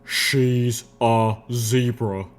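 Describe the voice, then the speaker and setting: A man's voice: medium pitch, steady tone, normal speed, a little mellow, lightly acted. A man voicing a stern, suspicious old soldier pony in armour, clipped and accusing, in an audiobook story. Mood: suspicious, indignant.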